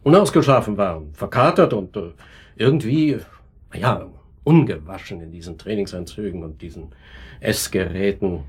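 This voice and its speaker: Snooty middle-aged manager in a radio play, bit husky, sardonic and snappy. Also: male voice, low-pitched, expressive, normal speed, exaggerated voice. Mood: disdainful. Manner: dismissive, sardonic, clipped. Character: haughty, irritable married district manager being questioned. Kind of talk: German radio drama acting.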